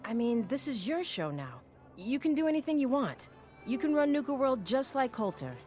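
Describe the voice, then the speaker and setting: An actress playing a nervous, deferential doctor among raiders in a video game, speaking hesitantly. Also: female voice, sits high, big pitch swings, darkish tone, soft background music. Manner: hesitant, halting. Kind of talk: video game character dialogue.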